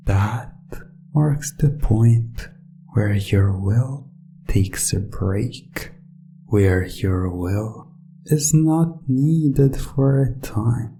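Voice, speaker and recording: A hypnotist delivering a scripted ASMR induction in a soft, soothing yet commanding way, with deliberate phrasing and breathy moments. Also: low-pitched. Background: soft plucked string music.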